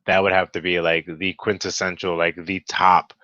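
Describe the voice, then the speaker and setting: A man's voice: sits low, normal speed, no echo, highs cut off. A man on a cast panel over a video call, chatting casually with a teasing, joking manner. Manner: teasing, animated banter. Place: indoors on a group video call.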